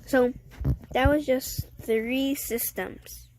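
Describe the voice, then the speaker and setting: Slow, halting woman with a cold. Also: high-pitched, steady tone, noisy background. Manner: halting reading with stumbles and self-corrections. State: sniffling with a cold. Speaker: weather video host. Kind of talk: casual weather update, reading forecast text aloud.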